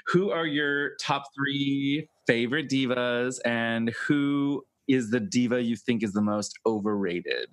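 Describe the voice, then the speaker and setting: A man's voice: medium pitch, slow pace, few pauses. A man hosting a podcast, asking teasing, provocative questions in casual chat. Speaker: podcast host.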